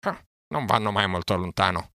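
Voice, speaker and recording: A man's voice: low-pitched, normal speed, sharp tone, smooth, no echo, studio quality. A man voicing a sly, sardonic vulture in a storybook, speaking with a knowing sneer.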